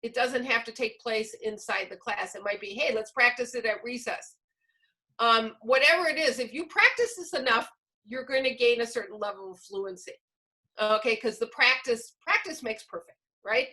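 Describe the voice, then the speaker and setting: A woman's voice: fairly high pitch, moderate pace, sharp tone. A woman presenting a teacher-training session, explaining informally with examples as she answers questions. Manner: explanatory, illustrates points with examples.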